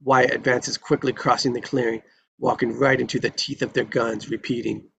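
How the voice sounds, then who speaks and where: A man's voice: mid-range voice, flat delivery, normal speed, vocal fry, a bit bright, muffled recording, slight background noise. A man reading screenplay stage directions in a dramatic narrating style at a table read.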